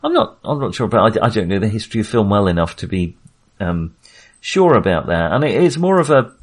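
Low-voiced man chatting about films. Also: very animated, brisk, husky. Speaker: film podcast host. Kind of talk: casual film discussion.

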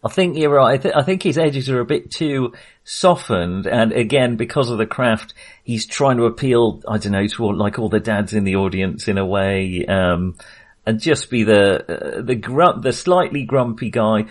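A man co-hosting a podcast, talking casually and informally in a film discussion. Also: on the low side. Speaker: podcast co-host.

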